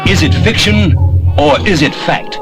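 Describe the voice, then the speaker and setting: A man's voice: a little high, measured pace, natural voice. A man performing as a dramatic, portentous announcer in a 1960s film trailer about doomsday peril. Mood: portentous, urgent. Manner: portentous, theatrical. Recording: old film trailer under loud music. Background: loud dramatic music.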